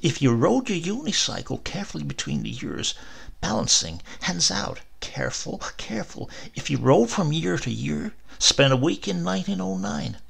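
Man acting a dreamy, excitable old husband, high and creaky. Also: moderate pace, exaggerated voice. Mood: dreamy excitement. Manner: enthusing, wistful, hesitant when caught.

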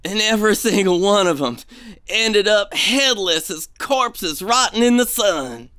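An actor playing a superstitious, fearful treasure hunter in an audio drama, with a folksy, foreboding way of talking. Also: male voice, high-pitched, animated, measured pace, pushed and bright, dry recording, studio quality, exaggerated voice. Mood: ominous.

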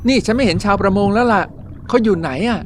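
A woman's voice: medium pitch, fast talker, natural voice. A woman voicing a brave young fish hero in a dubbed cartoon, urgent and bossy. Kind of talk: cartoon voice acting. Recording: music bed under voice.

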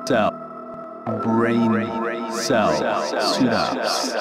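A man with a German accent reads single words slowly and deliberately into a phone for a vocal sample. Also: lowish voice. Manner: slow, deliberate, one word at a time. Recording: phone recording, denoised, music faint behind.

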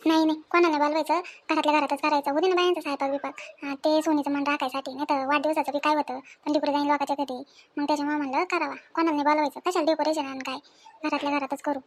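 High-voiced, nagging village woman played by an actress. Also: flat delivery, rapid-fire, a little hiss or hum, lightly acted. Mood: nagging, fussy. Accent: rural Maharashtrian Marathi. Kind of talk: acted family comedy dialogue.

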